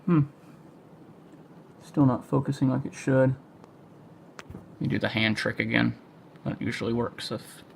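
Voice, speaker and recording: A man's voice: mid-range voice, steady tone, a little slow, vocal fry, pauses often, a little hiss or hum. A man hosting an amateur livestream in a casual, informal way, thinking aloud as he goes. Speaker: amateur storm-watch livestream host. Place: a room at home.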